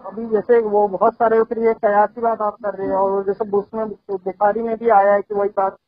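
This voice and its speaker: A narrow, tinny telephone line carries a man with a very high voice for a male. He is a caller in a religious debate, talking conversationally and hesitantly, trying to conciliate as he goes. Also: little pitch movement, normal speed. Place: recorded telephone call. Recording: thin, band-limited phone line.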